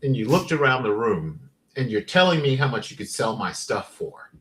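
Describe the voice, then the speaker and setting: A man's voice: mid-range voice, normal speed, poor audio. A man co-hosting a casual livestream chat, telling stories animatedly and acting out past conversations. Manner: animated storyteller who acts out past conversations. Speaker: podcast co-host.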